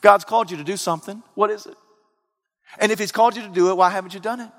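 A man preaching a sermon, swinging from loud excited outbursts to low hushed lines. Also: quick pace. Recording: long reverb of a large hall.

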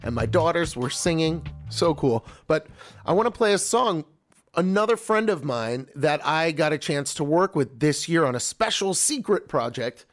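A man's voice: a little high, animated, moderate pace. A middle-aged man hosting a children's radio show, chatting casually and enthusiastically as he introduces songs. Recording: talk over loud background music. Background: loud music.

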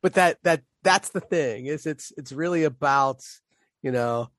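A man's voice: fairly high pitch, a little slow, a bit bright, no echo, clean studio sound. A man co-hosting a casual conversation about teaching, easygoing and agreeable, filling his talk with "right" and "you know". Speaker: podcast co-host.

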